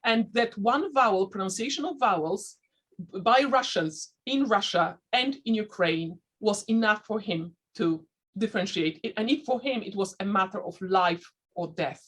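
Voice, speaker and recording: A woman who learned English as a second language, speaking with a slight Dutch-sounding accent as a panelist in an online discussion, pausing and restarting as she looks for words. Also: mid-range voice, a bit bright, husky. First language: not English. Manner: thoughtful, searching for words with frequent restarts.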